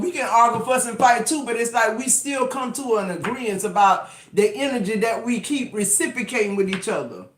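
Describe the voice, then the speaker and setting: A man in his fifties giving a casual tarot reading, talking emphatically with profanity and rambling restarts. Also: sits high. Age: 50s. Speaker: tarot reader. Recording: echoey room.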